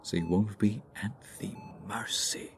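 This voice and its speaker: A man's voice: lowish voice, measured pace, creaky, a little hiss or hum. A man acting a smug, flirtatious supervillain in a hospital ASMR roleplay, teasing and theatrically sarcastic. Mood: sardonic. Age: 20s.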